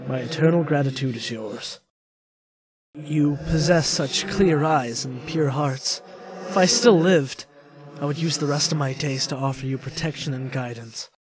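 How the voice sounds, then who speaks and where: A man playing a genteel, grateful gentleman ghost in a game dub, speaking in florid, formal, theatrical phrases. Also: on the high side, unhurried, crowd noise, lightly acted, rough recording. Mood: grateful, solemn.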